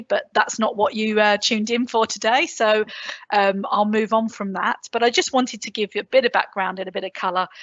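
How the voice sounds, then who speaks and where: A woman with a London accent gives a conference presentation over a video call. She is chatty and loose, fills pauses with "um"s, and throws in small self-deprecating asides. The audio is slightly dull and dry, like a laptop microphone. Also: mid-range voice, a bit bright, dry recording. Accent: London English. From England. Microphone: computer mic on a video call.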